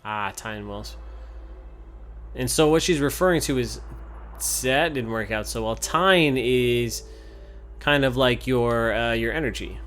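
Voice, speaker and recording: A man hosts a gameplay video in an informal, conversational way. His voice is medium in pitch, and game music plays behind his commentary. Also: a little slow, pauses often, fairly bright tone. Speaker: game reviewer and host.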